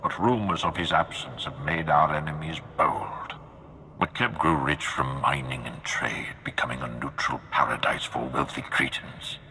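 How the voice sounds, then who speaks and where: Very deep, grim dark lord. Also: male voice, husky, exaggerated voice. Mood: stern, explaining. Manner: grave, formal, measured. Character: grim commanding dark lord of an empire at war. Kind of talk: scripted video game acting. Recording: game music behind the voice.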